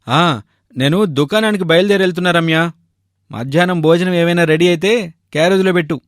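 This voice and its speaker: A man's voice: high-pitched, fairly quick, no room sound, clean studio sound, close to an everyday voice. A man playing a food-loving young husband and shopkeeper, grumbling yet tactful, in a cartoon moral story. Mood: casual. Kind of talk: animated moral story dialogue.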